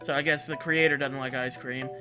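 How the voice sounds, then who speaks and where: A man's voice: medium pitch, fairly even intonation, brisk, over music. A man co-hosting a comedy show, giving casual commentary in a crude, profane, riffing manner.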